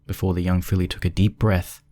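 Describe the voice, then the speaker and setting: A man's voice: sits low, level tone, a little fast, warm tone, no echo. A man reading scripted narration for a spooky fan fiction story.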